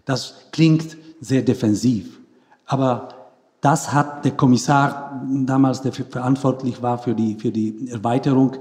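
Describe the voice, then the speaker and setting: Slow, mellow man with a Polish accent. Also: mid-range voice, steady tone. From Poland. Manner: halting, searching for words. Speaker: panel guest. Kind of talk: panel discussion, anecdotal.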